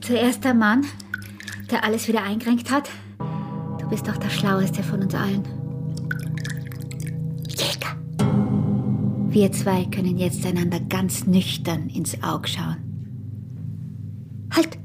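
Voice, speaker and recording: Bitter, seductive Austrian farm wife for radio play; unhurried, taunting, dropping into breathy murmurs; music underneath. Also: female voice, mid-range voice, fairly even intonation. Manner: taunting, slow, often hushed and breathy. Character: scheming, defiant peasant wife, bitter and seductive. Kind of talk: radio drama acting.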